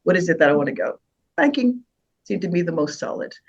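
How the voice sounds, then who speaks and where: A woman's voice: fairly low pitch, sing-song, a little fast, breathy edge, muffled recording. An older woman from the Bahamas with a Bahamian accent tinged with American, telling stories as a guest in a casual interview, with frequent laughs and restarts. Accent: Bahamian with American touches. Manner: warm storytelling, laughs often, many restarts. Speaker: guest singer.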